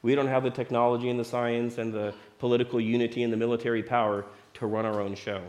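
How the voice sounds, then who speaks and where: A man's voice: medium pitch, level tone, fast, a little mellow. A middle-aged man preaching a sermon in a conversational way, asking the listeners rhetorical questions. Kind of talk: sermon teaching.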